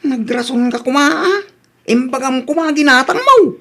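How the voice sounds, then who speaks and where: A woman's voice: high voice, animated, normal speed, pushed and bright, heavily acted. A woman with a Philippine accent voicing a hapless, sheepish, bickering husband in a comedy radio drama. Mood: sheepish, protesting.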